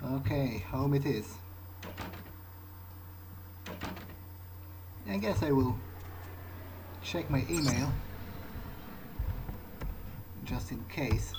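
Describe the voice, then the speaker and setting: A man role-playing a wary, pragmatic vampire in a video game, thinking aloud in a halting, understated way. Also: medium pitch, background noise, lightly acted. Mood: musing, dry. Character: male vampire musing to himself, wary, pragmatic. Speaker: gamer playing in character. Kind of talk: improvised in-character game monologue.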